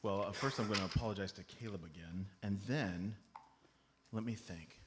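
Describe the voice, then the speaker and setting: A man playing an earnest, hesitant amateur detective with a mock-serious, deliberate delivery in a live comedic radio play. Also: fairly low pitch, heavy background noise, lightly acted. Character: Terry, earnest, hesitant, self-important amateur detective sidekick. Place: comedy club stage before a live audience.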